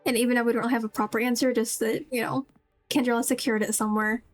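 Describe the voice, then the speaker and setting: Girl streamer with a high voice chatting casually over game music, kinda rambly. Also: fairly even intonation, quick pace. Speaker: streamer playing a story game. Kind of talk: casual live game commentary.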